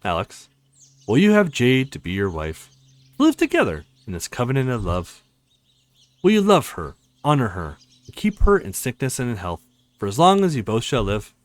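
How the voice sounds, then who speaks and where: A man's voice: mid-range voice, huge pitch swings, moderate pace, no echo. Man acting as wedding priest, solemn, ceremonial, visual novel reading, widely varied intonation.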